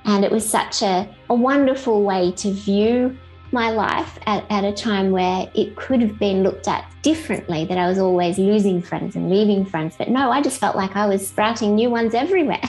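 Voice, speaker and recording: A woman's voice: medium pitch, normal speed, darkish tone, faint music behind, poor audio. A middle-aged woman with an Australian accent, chatting in an upbeat way as an interview guest. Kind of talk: casual interview chat.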